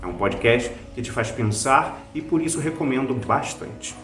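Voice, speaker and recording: A man's voice: mid-range voice, lively intonation, moderate pace, fairly bright tone, roomy sound, faint music behind. A Brazilian man hosting a recommendation video in a conversational, scripted review style. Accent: Brazilian Portuguese. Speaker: video host recommending podcasts.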